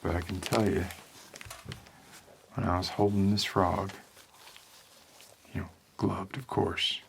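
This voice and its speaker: A man performing an ASMR roleplay as a world-weary homicide detective, rambling hesitantly in a deadpan manner. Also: low voice, slow pace, gravelly, slight background noise. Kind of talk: slow ASMR roleplay monologue.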